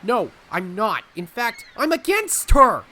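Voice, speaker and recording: An actor playing an earnest raccoon adventurer hero in an audio drama. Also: male voice, high voice, sing-song, a little slow, pushed and bright, heavily acted. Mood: alarmed. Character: young heroic raccoon adventurer, earnest and quick to react.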